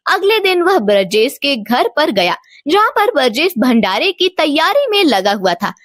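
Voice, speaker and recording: A woman's voice: high voice, sing-song, unhurried, a bit bright, no echo. A woman storyteller giving scripted narration of a moral tale.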